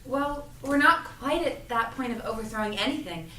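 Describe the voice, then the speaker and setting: A woman's voice: a little high, expressive, normal speed, bright, forceful tone. A woman playing a thoughtful, moderate member of a secret movement in an audio drama read-through, mild and conversational. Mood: reassuring, reflective. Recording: echoey, distant mic, slight noise.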